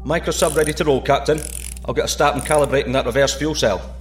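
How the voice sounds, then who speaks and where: An actor playing a joking British astronaut, bantering in a sci-fi audio drama. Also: male voice, fairly high pitch, steady tone, quick pace, lightly acted. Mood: dry, joking. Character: wisecracking, nervy male British astronaut.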